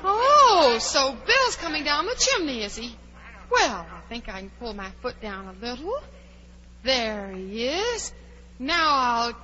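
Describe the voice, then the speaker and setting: A woman's voice: high voice, lively intonation, slow. A woman playing a curious, chatty, plucky young girl who wonders aloud in a 1940s radio drama. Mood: plucky, indignant. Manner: wondering aloud, exclaiming, polite. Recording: dull, hissy 1940s radio recording.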